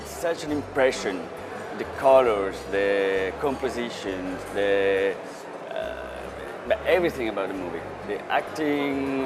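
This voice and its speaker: Enthusiastic man cinematographer. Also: medium pitch. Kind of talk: interview testimony.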